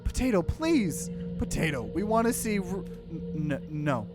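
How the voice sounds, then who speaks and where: A man's voice: a little high, very animated, a little slow, music in the background. A man voicing a timid little food creature from a visual novel, stammering and pleading. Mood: pleading, nervous.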